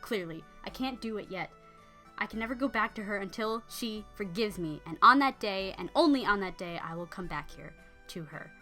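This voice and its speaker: A woman's voice: mid-range voice, expressive, moderate pace, pushed and bright, faint music behind. A woman storyteller voicing an earnest, awkward, heartbroken male knight in casual modern speech. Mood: resigned. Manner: plain-spoken, hesitant, earnest.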